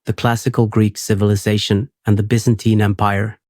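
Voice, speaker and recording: A man hosting a sleep podcast, reading a script in a steady, unhurried, soothing way. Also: on the low side, no echo, clean studio sound.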